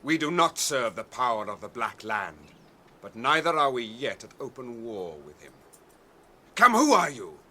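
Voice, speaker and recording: An actor playing a proud, wary cavalry commander, blunt and honourable, speaking formally and with authority in a radio fantasy drama. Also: male voice, medium pitch, very animated, normal speed, bright, forceful tone, heavily acted.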